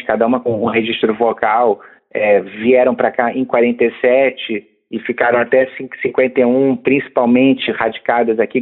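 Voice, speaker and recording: A man with a Brazilian Portuguese accent, a music researcher talking conversationally with restarts and tag questions. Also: medium pitch. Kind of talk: interview clip, recalling music history. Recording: phone-like narrow band.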